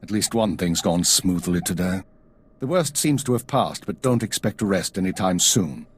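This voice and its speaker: A male actor playing a commanding, weary middle-aged intelligence chief in a video game, husky and brisk. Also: deep voice, lively intonation. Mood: calm, measured. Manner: brisk, authoritative.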